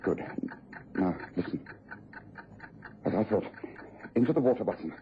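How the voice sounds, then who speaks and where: Self-assured English detective for radio drama: clipped, authoritative, lively intonation, faintly noisy old tape. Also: male voice, fairly low pitch, measured pace. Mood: intent. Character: brisk, shrewd, self-assured gentleman detective. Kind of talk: BBC radio drama acting. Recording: dull, noisy vintage radio recording.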